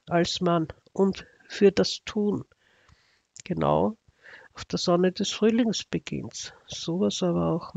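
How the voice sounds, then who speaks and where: High-pitched Austrian man, dry and sardonic. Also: vocal fry, darkish tone, no room sound, highs cut off. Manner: sardonic, ironic. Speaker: astrology video narrator. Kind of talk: casual unscripted commentary over slides.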